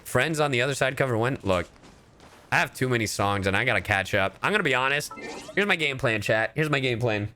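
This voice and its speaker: A man livestreaming to his viewers, chatting in an expressive, theatrical, sweary way. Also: mid-range voice, brisk, slight background noise. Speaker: streamer, voice actor and cover singer. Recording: music behind. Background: music.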